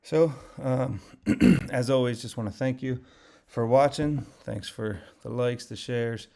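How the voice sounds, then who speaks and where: A man hosting a casual market recap, talking through trades in a rambling, conversational way with lots of fillers while his voice grows hoarse. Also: medium pitch, slow, dark tone. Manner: conversational, rambling, many fillers and self-corrections. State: voice getting hoarse, losing his voice. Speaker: finance channel host.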